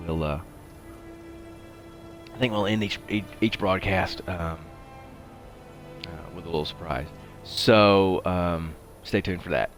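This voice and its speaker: Deep-voiced man streaming with a head cold. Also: slow pace, pauses often, soft background music. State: congested from a head cold, sniffing. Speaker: game livestream host. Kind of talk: casual rambling gameplay commentary.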